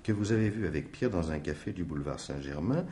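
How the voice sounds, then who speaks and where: An actor playing a polite, quietly insistent male stranger in a French radio mystery in 1950s style, measured and formal. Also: sits low, lively intonation, slightly rough. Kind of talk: French radio drama acting in 1950s manner.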